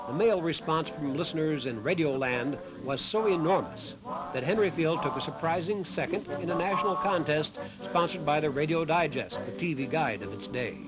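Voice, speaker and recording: Music plays clearly behind a man with a fairly high voice. He delivers scripted documentary narration as the narrator of the programme. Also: low-quality recording.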